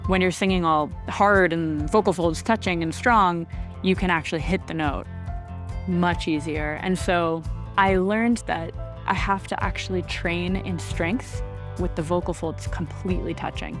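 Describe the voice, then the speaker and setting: A woman who sings for a living, speaking softly as she explains her vocal technique in an interview. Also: fairly low pitch, steady tone, measured pace. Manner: soft-spoken, explanatory. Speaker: professional singer. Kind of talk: interview talk. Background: music bed.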